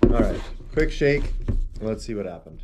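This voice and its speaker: A man's voice: fairly low pitch, very animated, unhurried, heavy background noise. A man in his forties giving a casual, rambling review to camera at a desk in a small room. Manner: rambling, easygoing, talks while handling the tub and shaker. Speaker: protein powder reviewer. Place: small indoor room with shelves of supplement tubs. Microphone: camera at a desk, wide framing.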